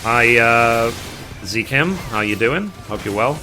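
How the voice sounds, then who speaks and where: A man's voice: medium pitch, animated, takes it slowly. A man with a British accent chatting casually and at rambling length with his live stream viewers as a gaming streamer. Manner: rambling, reading chat aloud and replying. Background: music.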